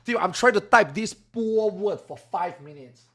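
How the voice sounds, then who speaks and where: A man's voice: high-pitched, expressive, measured pace, fairly bright tone. A man from Singapore with a Singaporean English accent, chatting casually and jokingly with his stream viewers and swearing freely. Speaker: live streamer.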